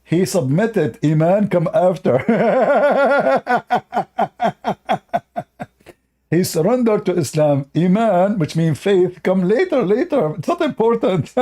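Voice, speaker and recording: A man's voice: sits high, expressive, very slow, warm tone. A man hosting a reaction video, sarcastic and laughing often as he mocks a clip, speaking in broken English. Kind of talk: mocking reaction commentary.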